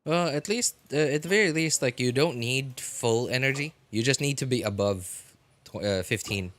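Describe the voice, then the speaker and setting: A man live-streaming a game, chatting casually with viewers and answering chat in a relaxed, chatty way. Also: medium pitch, moderate pace. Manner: frequent fillers and restarts, laughs easily.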